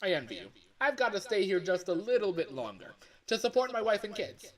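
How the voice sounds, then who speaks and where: A man's voice: high-pitched, big pitch swings, fairly quick, voice pushed up. A man voicing a chirpy, chummy office coworker in an exaggerated, peppy phone-call voice line for a video game.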